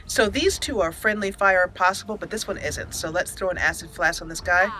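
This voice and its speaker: Bright-voiced woman narrating a roleplay playthrough. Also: fairly low pitch, fairly quick, soft background music, low-quality recording. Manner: expressive, talks to the game characters. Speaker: gaming channel host. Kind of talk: roleplay game commentary with storytelling narration.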